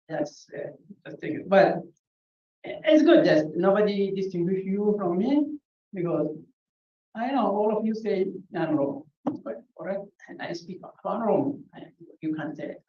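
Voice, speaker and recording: A woman from Ethiopia with an Ethiopian accent, giving an unscripted conference talk in a halting way, full of restarts and fillers. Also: on the low side. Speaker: conference speaker. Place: conference hall. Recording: muffled, echoey, distant from the mic.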